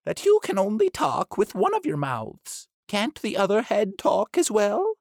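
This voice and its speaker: A man voicing a fussy, bossy female duck housekeeper in a storybook reading, shrill and scolding. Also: huge pitch swings, a little slow, gravelly, no room sound, studio quality, full character voice. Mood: curious.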